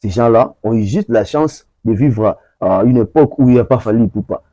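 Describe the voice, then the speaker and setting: A man's voice: medium pitch, a little fast, mellow, dry recording. Emphatic Congolese man commenting. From Congo (DRC). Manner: emphatic, repetitive, hesitant with many fillers. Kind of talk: opinion commentary.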